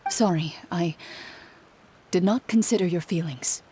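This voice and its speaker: A woman playing a cool, dutiful female duelist in a video game, speaking in a formal, measured, restrained way. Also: on the low side, warm tone, a little hiss or hum, close to an everyday voice. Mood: apologetic.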